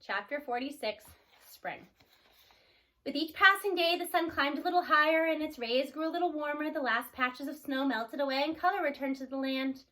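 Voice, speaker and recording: A woman's voice: sits high, moderate pace, pushed and bright, low-quality recording. A woman narrating a children's storybook read-aloud.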